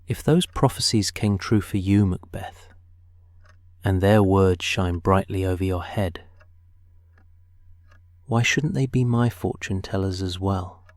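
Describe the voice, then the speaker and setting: A man playing a brooding, suspicious cat nobleman in a theatrical Shakespearean audio drama, measured and deliberate with dramatic pauses. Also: low voice, no room sound, studio quality. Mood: musing, hopeful.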